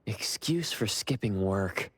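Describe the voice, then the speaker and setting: A man's voice: lowish voice, expressive, slow, slightly rough, no room sound, exaggerated voice. An actor voicing a polite, mild-mannered young man in an anime game, courteous and a little hesitant.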